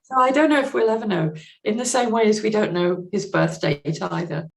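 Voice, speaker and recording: A woman speaking as a literary interview guest, explaining things in an articulate, considered way and pausing to find the right words. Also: mid-range voice, vocal fry. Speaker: podcast guest, literary translator.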